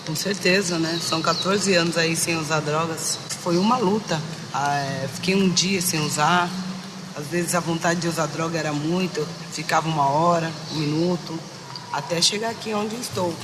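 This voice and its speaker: A woman giving reflective, halting interview testimony, often restarting her words. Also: low voice. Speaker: interviewee, author. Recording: noisy background.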